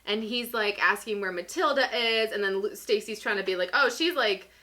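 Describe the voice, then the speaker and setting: A woman's voice: medium pitch, a little fast, sharp tone. A woman hosting in a casual, comic, playful and giggly way. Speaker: video host.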